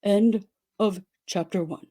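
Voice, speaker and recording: A woman giving a theatrical dramatic reading of a story, with exaggerated delivery and dramatic pauses. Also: mid-range voice, steady tone, dark tone. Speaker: dramatic reader.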